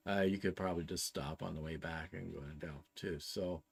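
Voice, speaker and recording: A man in his sixties with a Canadian accent, chatting casually as a show co-host and explaining plans in a halting, methodical way. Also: low-pitched, steady tone, gravelly, husky. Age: 60s.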